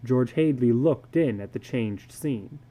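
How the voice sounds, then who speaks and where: A man giving a measured, deliberate dramatic reading of a literary story as its narrator. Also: medium pitch, warm tone.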